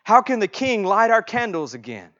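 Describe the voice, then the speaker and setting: A man voicing excitable, wide-eyed young daughters as he reads a children's storybook. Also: sits high, moderate pace, highs cut off, pitched above the performer's own voice. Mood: worried wishing.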